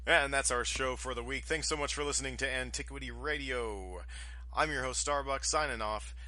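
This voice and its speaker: Male podcast host, bright forceful tone, mid-range pitch, scripted presenting. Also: moderate pace. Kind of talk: scripted show sign-off.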